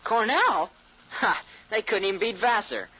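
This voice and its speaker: A woman's voice: mid-range voice, very animated, measured pace, husky. A woman playing a brash, slangy, teasing teenage boy in an old-time radio drama. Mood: boisterous. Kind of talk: 1940s radio acting. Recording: muffled old radio recording.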